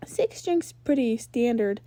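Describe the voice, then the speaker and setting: A woman's voice: on the high side, animated, slow pace, warm tone, no room sound, voice pushed up. A woman voicing a brash, cocky teenage rock-star ghost girl with blunt, teasing, slangy delivery in a read-aloud story. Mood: casual, explaining.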